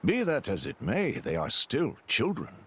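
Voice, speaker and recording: An actor playing a courteous, gentle retainer with a raspy voice in a video game cutscene. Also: male voice, mid-range voice, huge pitch swings, measured pace, full character voice. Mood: gently reproving. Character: courteous, gentle male retainer, protective and mild.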